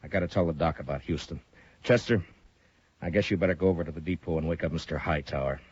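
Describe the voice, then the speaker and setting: An actor playing a calm, commanding frontier marshal in a 1950s radio drama. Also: male voice, very deep voice, quick pace, slightly rough, dry recording, dull audio. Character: stern, steady male frontier marshal.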